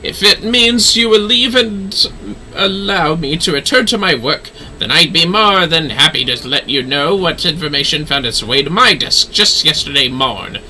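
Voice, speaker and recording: A man's voice: fairly high pitch, animated, moderate pace, sharp tone, slight background noise, poor audio. A man voicing a haughty, theatrical nobleman astrologer from a fantasy game, with florid, pompous delivery. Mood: haughty, dry.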